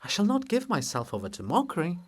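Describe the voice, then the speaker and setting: A man puts on a proud pagan woman of ancient times for a stage drama. The pitch is lifted and the delivery loud and exclamatory, full of grumbling indignation and quick temper. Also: wildly swooping pitch, breathy edge, full character voice. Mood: indignant.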